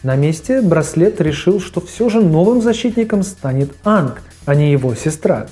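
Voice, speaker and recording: A man's voice: on the high side, lively intonation, normal speed, mellow, faint music behind. A man giving scripted video narration as host, mixed with his own personal commentary on old cartoons.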